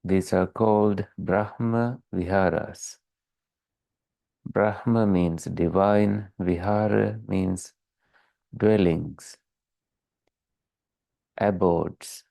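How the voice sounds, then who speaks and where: A man leading a guided meditation as a teacher, calm and measured, leaving long pauses between short phrases. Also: deep voice, vocal fry, dry recording.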